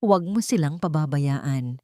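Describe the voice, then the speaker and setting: A woman's voice: sits low, expressive, a little slow, mellow, no echo, studio quality. A woman with a Filipino accent voicing a frail but determined mother who pleads insistently in a story. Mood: tearful pleading.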